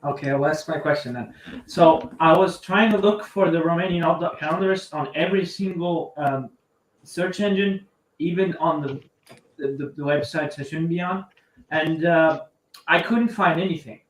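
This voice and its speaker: A man's voice: on the high side, moderate pace. A man joining a livestream as a guest, asking his question politely and stumbling over words. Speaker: call-in guest. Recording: echoey, distant, faint noise.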